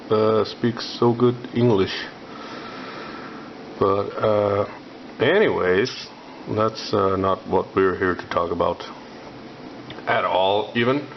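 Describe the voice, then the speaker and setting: Slow, rambling Icelandic man. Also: lowish voice. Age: young adult. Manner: halting, many filler sounds. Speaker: scale model builder. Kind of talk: rambling hobby vlog. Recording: dull lo-fi with faint noise.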